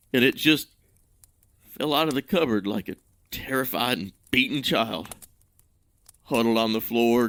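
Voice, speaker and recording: An actor playing an ashamed, grieving man who tells his tale with self-loathing and dramatic pauses. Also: medium pitch, a bit bright, dry recording, lightly acted. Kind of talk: dramatic fantasy monologue in audio drama.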